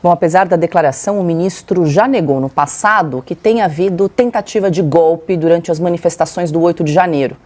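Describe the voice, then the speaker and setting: Low-pitched Brazilian anchorwoman. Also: a little fast, hardly any pauses, a little mellow. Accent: Brazilian Portuguese. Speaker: news anchor. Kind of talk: news anchoring.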